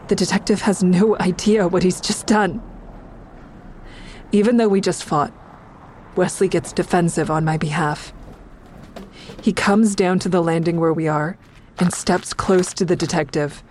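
A woman's voice: lowish voice, darkish tone, slight background noise, natural voice. An actress playing a defiant, distraught young woman who narrates her own story in an audio drama. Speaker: narrator and lead character.

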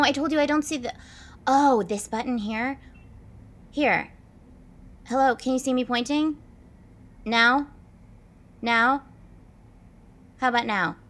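Self-absorbed stage diva: sharp bright voice, imperious, theatrical, demanding. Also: female voice, sits high. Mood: flustered. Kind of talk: comedic audio drama acting.

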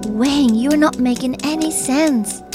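Confused, worried woman, high voice, exasperated, questioning, music behind. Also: takes it slowly. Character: exasperated, confused, concerned woman close to the hero.

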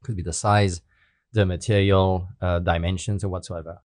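Low-voiced man explaining methodically. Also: level tone, normal speed, a little mellow, no echo, studio quality. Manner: careful, methodical, with non-native phrasing. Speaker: guest speaker. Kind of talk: explanatory interview answers.

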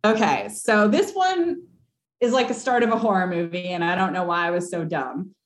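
Animated young woman in an echoey room. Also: lowish voice, moderate pace. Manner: animated, laughing, many fillers. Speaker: caller. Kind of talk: casual storytelling.

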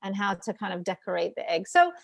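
A woman's voice: mid-range voice, big pitch swings, fast talker, vocal fry, no echo. Woman author speaking dry and close. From New York, USA. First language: Ukrainian. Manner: chatty, warm storytelling with fillers. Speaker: author guest. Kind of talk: casual interview answers.

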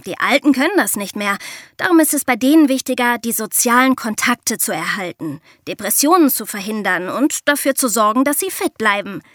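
Woman, slightly high pitch, podcast co-host, conversational explaining, dry studio-like sound. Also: lively intonation, a little fast, a bit bright, no room sound.

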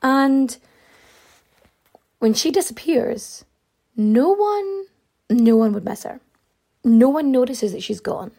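A woman with a fairly high but soft, mellow voice, talking her way through a story in a loose, chatty way with lots of fillers. Her pitch jumps up and down as she gets into it, and her voice is wearing thin and a little hoarse. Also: sing-song, slow pace, long pauses. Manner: chatty, many fillers, big pitch swings. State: losing her voice. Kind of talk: casual storytelling.

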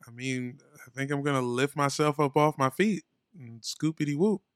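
A man's voice: mid-range voice, moderate pace, a bit bright, clear, resonant tone. A man co-hosting a podcast in casual comedic banter, riffing playfully. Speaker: podcast co-host.

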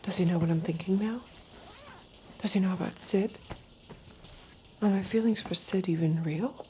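A woman playing a compliant young girlfriend in an audio drama, soft-spoken and guarded, sweet on the surface and doubting underneath. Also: mid-range voice, moderate pace, slight background noise, lightly acted. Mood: inward, anxious. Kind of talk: naturalistic audio drama acting.

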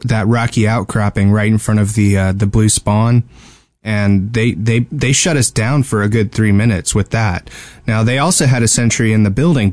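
A man's voice: fairly low pitch, darkish tone, dry recording. A man co-hosting a podcast, casually discussing video games and often repeating words as he makes his point. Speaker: podcast co-host.

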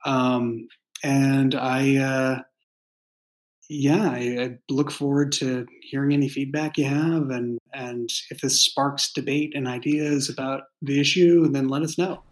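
A man in a casual podcast discussion, speaking as a creator and writer in a halting way, searching for words. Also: medium pitch, fairly even intonation. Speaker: audio drama creator and writer.

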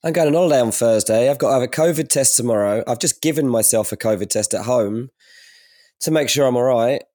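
A hoarse man who has lost part of his voice to a cold, talking in a grumpy, self-deprecating way. His voice is dark and mellow, recorded close and dry. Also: mid-range voice, fast, dry recording. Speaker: podcast co-host.

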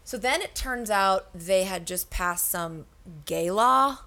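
A woman telling stories casually and comically, with slangy, profane talk and animated quoting of other people. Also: mid-range voice, slow.

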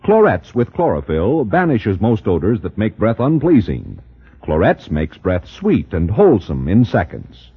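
A man's voice: lowish voice, breathy edge. A man announcing a sponsor message in an emphatic 1950s radio commercial style. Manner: emphatic salesman delivery. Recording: muffled old 1950s radio recording.

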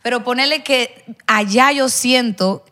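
A woman's voice: on the high side, measured pace, bright, forceful tone. A woman with a Honduran accent, chatting as a guest on a podcast and giving her opinions bluntly. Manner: outspoken, opinionated. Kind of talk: casual chat.

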